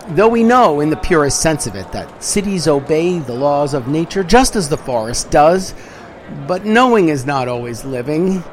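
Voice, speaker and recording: A man reading literary prose as an audiobook narrator, with deliberate, dramatic phrasing and weighted pauses. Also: a little high, a little hiss or hum.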